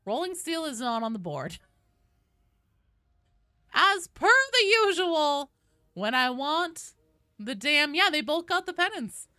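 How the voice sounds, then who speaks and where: Animated, bright-voiced woman livestreamer. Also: high voice, normal speed, no echo, clean studio sound. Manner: sweary, informal, animated. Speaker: video game livestreamer. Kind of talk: casual livestream chat and game commentary.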